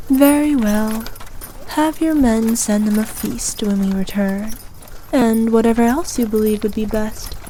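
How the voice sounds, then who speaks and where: A woman performs a haughty evil queen in an ASMR roleplay, speaking slowly in a fairly high, soft-edged voice. She is imperious and ruthless, yet indulgent toward her favoured knight. Some background noise sits behind her words. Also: natural voice, low-quality recording. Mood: pleased, indulgent. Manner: regal, imperious, measured and indulgent. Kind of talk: ASMR roleplay, scripted character acting.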